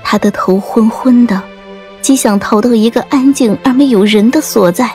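A woman reading a dramatic audiobook story in a measured, literary storytelling style. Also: a little high, a little mellow. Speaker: audiobook narrator. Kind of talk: dramatic scripted storytelling narration. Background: faint music.

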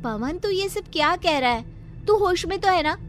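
A woman voicing an anxious young sister of an Indian family in an animated horror story, with music underneath. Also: extremely high pitch, moderate pace. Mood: shocked scolding.

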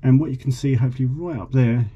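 A man's voice: mid-range voice, fast, dark tone, husky, lo-fi sound. A man chatting casually as a vlogger, showing and explaining things informally. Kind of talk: casual vlog chat and informal demonstration. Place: outdoors at a marina, partly aboard a boat.

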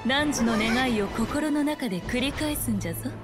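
An actress playing a commanding guardian spirit in a Japanese game, speaking in an old-fashioned, elder-like formal manner. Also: female voice, on the high side, normal speed, close to an everyday voice. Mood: solemn guidance. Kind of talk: Japanese anime game acting. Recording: loud music behind the voice.

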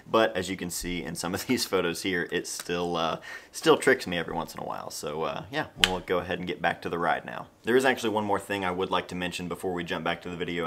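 A man's voice: sits low, a bit bright, slight background noise. An American man in his thirties talking casually and conversationally to camera, explaining things in a laid-back way as a vlog host. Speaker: vlog host and film photographer.

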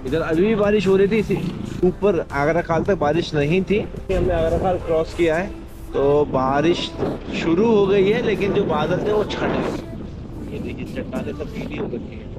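A man's voice: fairly high pitch, low-quality recording. A man giving casual travel vlog commentary, describing his surroundings to viewers as he rides. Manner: narrates surroundings while riding, addressing viewers. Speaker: travel motovlogger. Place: outdoors on a motorcycle on mountain roads. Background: music and vehicle sound.

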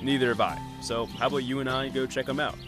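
Casting: boisterous, friendly brother played by a man, mid-pitched, casual, music behind him. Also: steady tone, fast talker, lightly acted. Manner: chummy, casual. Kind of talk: animated comic dub acting.

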